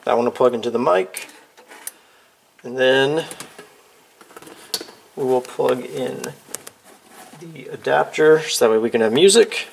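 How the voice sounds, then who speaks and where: A man's voice: mid-range voice, takes it slowly, long pauses. Casual man demonstrating, over background noise. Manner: informal, offhand, thinking aloud while handling gear. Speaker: DIY video presenter. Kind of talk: casual hands-on how-to demonstration. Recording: clear background noise, clicks of handling.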